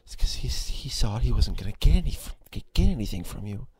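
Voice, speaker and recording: A man playing a quiet, obsessive, possessive schoolboy in an ASMR roleplay, halting and breathy. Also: lowish voice, big pitch swings, no room sound, exaggerated voice. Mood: bitter, upset. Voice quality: husky, breathy. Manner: halting, stammering, brooding. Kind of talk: ASMR roleplay, intimate acted monologue.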